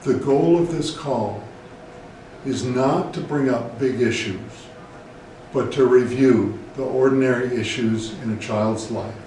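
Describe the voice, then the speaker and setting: A man with an American accent, a therapist presenting scripted educational advice in a measured, deliberate way. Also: mid-range voice, darkish tone. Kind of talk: scripted educational talk to camera. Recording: very echoey room, distant mic, faint noise.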